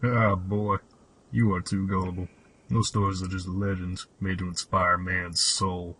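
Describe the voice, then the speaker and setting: Man acting a solemn, low-voiced old hermit. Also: level tone, measured pace, a bit bright, a little hiss or hum. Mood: dismissive. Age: older. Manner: solemn, old-fashioned, storyteller-like delivery. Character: cryptic old cave-dwelling hermit mechanic, storyteller of legends. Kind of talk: fan audio drama acting.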